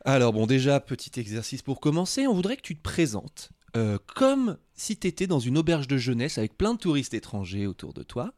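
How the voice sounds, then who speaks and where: A man hosting a podcast conversation, jokey and expressive, laughing often. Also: medium pitch, a little fast, hardly any pauses, dry recording, studio quality. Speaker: podcast host. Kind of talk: casual chat.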